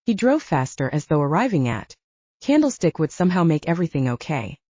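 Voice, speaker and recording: A woman's voice: sits low, very animated, fairly quick, dark tone, no room sound. A woman reading scripted first-person fiction as an audiobook narrator, acting out the dialogue in a dull-sounding recording.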